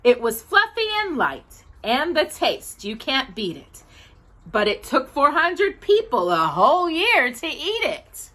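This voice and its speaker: A woman hosting a children's storytime, reading aloud in an animated, sing-song manner. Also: high voice, slow.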